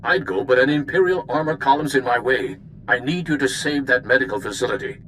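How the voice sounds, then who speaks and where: An actor voicing an earnest, calm male diplomat in a video game, briefing in a measured way. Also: mid-range voice, slight background noise, low-quality recording. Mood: urgent. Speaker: mission contact. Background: music.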